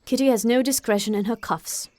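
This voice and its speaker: A woman's voice: fairly high pitch, fairly even intonation, darkish tone, lightly acted. Woman playing a wry, teasing middle-aged father. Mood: dry teasing. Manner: wry, measured, mock-solemn. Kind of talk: audiobook character acting.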